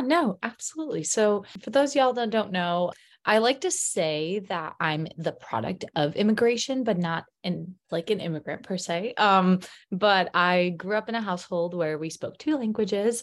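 A young woman from Chicago co-hosting a casual podcast, bubbly and chatty, laughing often and using many fillers. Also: fairly low pitch. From Chicago, United States. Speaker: podcast co-host.

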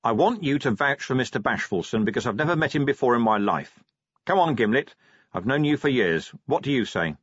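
A man's voice: mid-range voice, lively intonation, a little fast, no echo, highs cut off. A man doing a blunt, weary watch commander. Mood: appealing. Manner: crisp, snappish.

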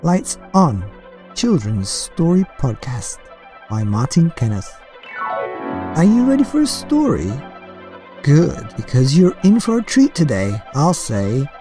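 Slow, mellow male podcast host. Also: a little high, huge pitch swings. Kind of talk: scripted children's podcast introduction. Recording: guitar music behind the voice.

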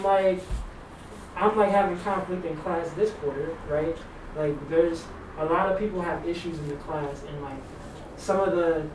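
A young man in a casual group discussion, speaking bluntly and candidly, with many halting fillers. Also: fairly high pitch. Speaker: student in a counseling program. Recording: echoey room, distant mic, background noise.

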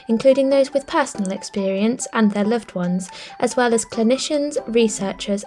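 A woman's voice: a little high, dark tone. A woman hosting a podcast, reading a prepared intro and then chatting encouragingly with her guest. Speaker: podcast host. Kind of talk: scripted intro, then casual interview.

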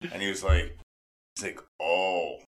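A man co-hosting a podcast in casual chat, animated and quick to jump in. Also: sits low, a crowd in the background. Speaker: podcast co-host.

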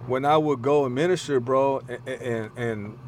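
A raspy-voiced pastor and rapper in his thirties, talking casually and emphatically, peppering his speech with "bro". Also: medium pitch, normal speed, a little hiss or hum. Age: 30s. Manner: emphatic, street slang, says "bro" often. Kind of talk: casual conversational interview.

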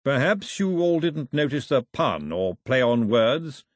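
A man's voice: mid-range voice, sing-song, moderate pace, dry recording, clean studio sound. Man playing a plummy, bewildered, pompous king. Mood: pleased, punning. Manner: pompous, genial, regal. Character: breathless, plummy, bewildered middle-aged king and fond father.

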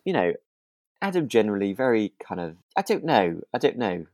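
A man with a very low voice talks casually as a podcast co-host. His phrasing is tentative and rambling, with frequent circling back. The sound is very dry and close, free of room echo. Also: sing-song, brisk, darkish tone. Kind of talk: casual discussion of a radio drama.

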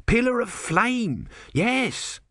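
A man's voice: medium pitch, very animated, unhurried, creaky, bright, forceful tone, no room sound, studio quality, voice pushed up, exaggerated voice. A man voicing a grumpy small god trapped as a tortoise, evasive and sardonic, in a storybook reading. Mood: casual, sheepish.

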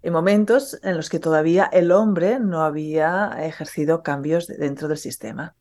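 A woman from Catalonia talks as a guest about her work, steady and modest. Her voice sits slightly low. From Catalonia, Spain. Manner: measured, explanatory, modest. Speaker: guest, geology professor. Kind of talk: interview answers.

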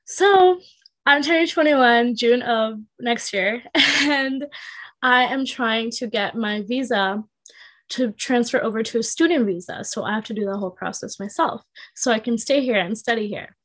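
A young woman in her twenties talks as a podcast guest. Her voice sits a little high. She is chatty and relaxed and leans on "like" as she explains things step by step. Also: normal speed. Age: 20s. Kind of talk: casual chat.

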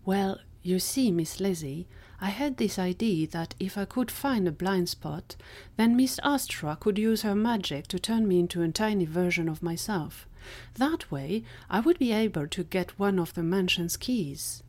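Woman as tiny gentleman scientist, audio drama: courteous, formal, earnest, easily flustered. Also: medium pitch, animated, moderate pace, lightly acted. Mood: sheepish.

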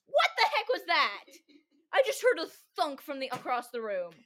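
A hoarse woman hosting a chaotic casual podcast with friends, giggling often. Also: sits high, huge pitch swings, moderate pace, bright, forceful tone. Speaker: podcast host.

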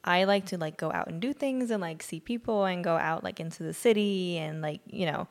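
A woman's voice: on the low side, brisk. A young woman from Sweden, a native Swedish speaker, chatting casually and frankly on a podcast. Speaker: guest. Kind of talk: casual chat.

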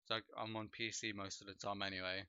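A man's voice: on the low side, quick pace, smooth, no echo. Young Polish-born man, dull lo-fi stream audio. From Poland. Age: young adult. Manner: relaxed, rambling, heavy with fillers like "like" and "bro". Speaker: streamer and podcast host. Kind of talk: casual chat on a livestream. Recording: dull lo-fi home mic sound.

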